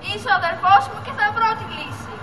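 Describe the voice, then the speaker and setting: A teenage girl playing an anxious, loyal young sea turtle in an amateur school puppet show, with earnest theatrical delivery. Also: very high-pitched. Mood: frightened, determined. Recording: echoey hall, music and audience noise.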